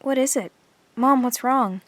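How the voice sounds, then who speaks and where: A woman's voice: high voice, unhurried, no room sound. A woman playing an earnest, observant teenage girl who narrates her diary in an audio drama. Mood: concerned.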